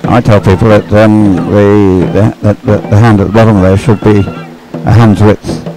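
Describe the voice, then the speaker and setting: Low, creaky-voiced man commentating, halting delivery. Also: steady tone, over music, poor audio. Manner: hesitant, halting, repeats words while explaining. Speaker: sports commentator, expert analyst. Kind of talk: live sports commentary, conversational.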